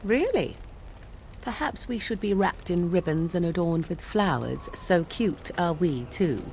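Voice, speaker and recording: A woman's voice: fairly low pitch, animated, a little slow, vocal fry, darkish tone, slight background noise. An actress playing a sly young witch who teases in a wry, archly formal way, with game music behind her. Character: sly young female witch, mocking and aloof.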